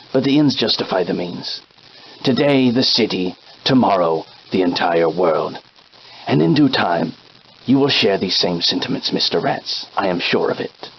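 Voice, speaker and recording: An actor playing a genteel, verbose shopkeeper with a villain's streak, in formal, archaic, theatrical game dialogue. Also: male voice, mid-range voice, muffled recording, a little hiss or hum. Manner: formal, archaic, florid wording. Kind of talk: theatrical period game acting.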